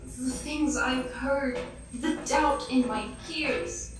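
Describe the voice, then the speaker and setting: A distraught noblewoman, acted by a woman, speaks in a high, halting, impassioned voice. The fantasy audio drama recording is echoey and a little distant, with faint music. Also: fairly even intonation. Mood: desperate pleading.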